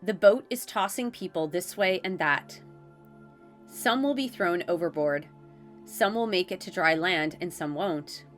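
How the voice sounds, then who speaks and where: Solemn angelic guidance, read aloud by a woman with a stuffy head cold. The voice sits low and stays measured, as though read from a page. Faint music plays behind. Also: close to an everyday voice.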